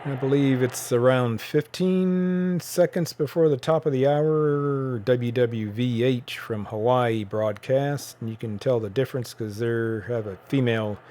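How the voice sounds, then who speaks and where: Halting, casual man with noise behind him. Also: mid-range voice, dark tone. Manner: halting, thinking aloud. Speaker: shortwave radio hobbyist presenting a bandscan. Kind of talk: casual unscripted demonstration commentary.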